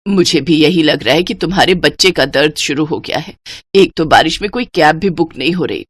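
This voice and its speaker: Woman putting on a caring older mother, lower voice. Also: animated, brisk, no echo. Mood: worried. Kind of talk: Hindi moral story character dialogue.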